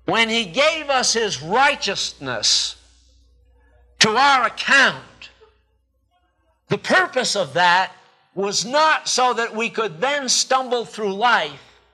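High-pitched, animated older male preacher. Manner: emphatic, deliberate, with pauses and repeated phrases. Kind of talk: sermon. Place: church pulpit, indoors. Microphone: two podium microphones.

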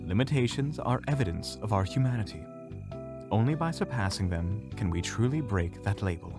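A man playing an aloof, philosophical mage in a fantasy audio drama, speaking in a deliberate, pompous, detached way. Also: lowish voice, animated, over music. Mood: smug, musing. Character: Phineas, aloof, philosophical male mage with dark ambitions.

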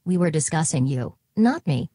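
A woman playing an old therapist chatbot who answers flatly and turns every question back on the asker. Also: low voice, moderate pace, slightly rough, no echo, studio quality, heavily acted. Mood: deadpan. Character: literal-minded early therapist chatbot, female.

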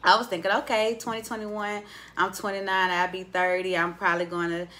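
Bright-voiced chatty woman podcast host. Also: mid-range voice, a little fast. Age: 20s. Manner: chatty, expressive, teasing. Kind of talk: casual podcast interview. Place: small indoor studio. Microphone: studio mic on boom arm.

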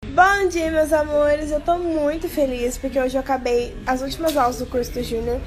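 A woman sharing excited news with her followers in a bubbly, cheerful manner. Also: high voice, normal speed, low-quality recording. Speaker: course student giving a testimonial. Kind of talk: social media story.

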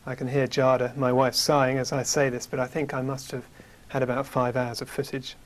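British man with a flat, even voice. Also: mid-range voice, slight background noise. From United Kingdom. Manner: measured, hesitant with fillers. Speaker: guest. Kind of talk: studio interview, recounting travels. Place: small studio with curtain backdrop. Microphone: lapel mic.

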